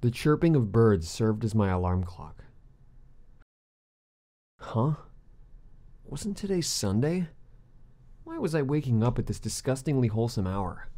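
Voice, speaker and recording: Dark-voiced man playing a grumbling teenage boy. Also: mid-range voice, sing-song, measured pace, long pauses. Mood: groggy, grumbling. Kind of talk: scripted visual novel dub.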